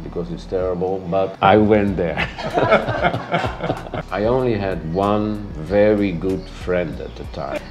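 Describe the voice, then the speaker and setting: Low-voiced man telling stories. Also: takes it slowly. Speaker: expat interviewee. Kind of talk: storytelling.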